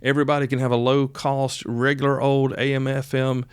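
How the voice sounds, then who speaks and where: A man with a clear, smooth voice talks through a casual instructional podcast. His delivery is folksy and conversational, speaking straight to his listeners. The sound is close and dry, with a mid-range pitch. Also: little pitch movement, brisk, no echo. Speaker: podcast host.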